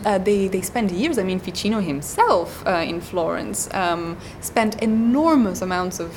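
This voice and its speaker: A woman guest on an academic interview, explaining at length and pausing often with ums and uhs. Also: mid-range voice, slight background noise. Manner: hesitant, many ums and uhs. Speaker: guest scholar.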